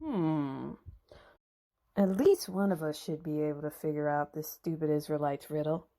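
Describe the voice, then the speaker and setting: A woman's voice: sits low, normal speed, dark tone, voice pushed down. A woman voicing scheming Philistine men in a Bible story dramatization, scornful and threatening. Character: scheming, sneering Philistine men, menacing wedding guests.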